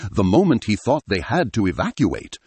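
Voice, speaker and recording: A man's voice: fairly low pitch, wildly swooping pitch, moderate pace, darkish tone, husky, no room sound. A man narrating an adventure story in a dramatic, scripted style.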